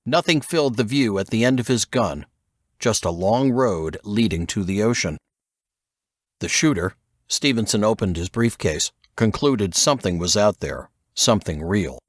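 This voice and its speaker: A man narrates a novel in a lowish voice with lively, varied intonation. The delivery is scripted storytelling, captured in a close, dry recording. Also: normal speed, no echo. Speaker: audiobook narrator.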